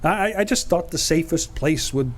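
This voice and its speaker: A man playing a glib gentleman thief in a live old-time radio play, hurriedly explaining himself. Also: a little high, animated, normal speed, natural voice.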